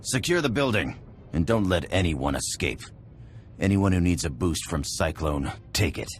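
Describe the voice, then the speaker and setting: Very deep, curt cell boss. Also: male voice, expressive, normal speed. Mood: urgent, commanding. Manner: curt, commanding. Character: gruff, commanding male terror cell boss. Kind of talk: scripted game cutscene acting.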